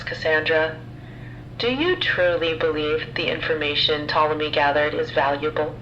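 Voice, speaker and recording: Actress as an icy female council leader, sharp and bright-toned, formal and menacing, slightly muffled with faint music behind. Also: fairly low pitch. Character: cold female council leader issuing an ultimatum.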